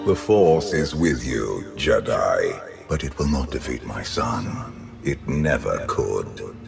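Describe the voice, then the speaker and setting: An ancient, imperious game villain, a man with an extremely deep, gravelly voice. He speaks with slow, deliberate menace and lofty contempt, like a ruler lecturing a disappointing subject. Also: animated, over music, full character voice. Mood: grave, contemptuous. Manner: slow, deliberate, pompous, condescending. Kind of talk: theatrical video game villain acting.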